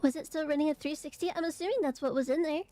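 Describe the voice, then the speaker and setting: A young woman streamer chatting casually and rambling with fillers, her voice a little hoarse and raspy from allergies. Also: high voice, rapid-fire, warm tone. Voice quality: raspy, phlegmy throat. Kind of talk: casual chat to stream viewers.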